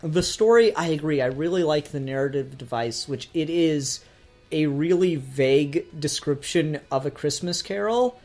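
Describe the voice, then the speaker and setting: A man's voice: on the high side, moderate pace, a little mellow, soft background music. A man talking casually as a podcast host, explaining his opinions in an earnest, enthusiastic way. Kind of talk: casual review discussion.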